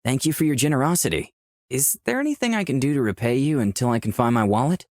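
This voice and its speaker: An actor playing a composed, charming incarnation of lust, in visual novel dialogue and inner monologue. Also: male voice, medium pitch, animated, fast, darkish tone, no room sound, studio quality, close to an everyday voice.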